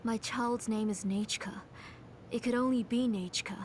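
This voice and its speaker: A woman voicing a middle-aged, grieving, obsessive mother in a video game, in a soft, hushed and fervent manner. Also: a little high, fairly even intonation, moderate pace, a little hiss or hum, natural voice.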